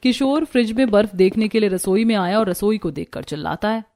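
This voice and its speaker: A woman's voice: medium pitch, animated, fairly quick, darkish tone. A woman narrating a scripted cartoon story.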